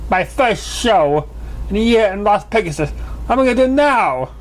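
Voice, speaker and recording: A man's voice: high voice, expressive, moderate pace, voice pushed up. A man voicing a boastful, self-pitying female show-magician pony in a theatrical, grandiose manner for a story reading. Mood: haughty.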